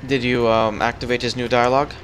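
A young man chatting casually while guiding a friend through a game, relaxed and full of fillers. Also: medium pitch, fast talker, a little hiss or hum. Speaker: gaming channel host. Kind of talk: casual co-op gameplay chat.

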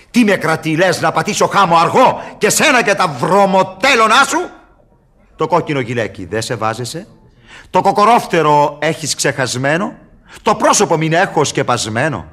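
An actor playing a sly, mocking devil tempter in a theatrical radio verse drama. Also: male voice, fairly high pitch, big pitch swings, sharp tone, heavily acted. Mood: furious, commanding.

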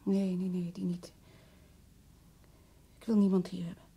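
A stricken, anxious mother in a radio play. Her voice is very husky and soft-edged, with a creaky rasp, and she speaks haltingly. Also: on the low side, flat delivery, exaggerated voice. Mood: numb, halting. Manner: halting, repeating words in disbelief. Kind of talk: radio drama acting.

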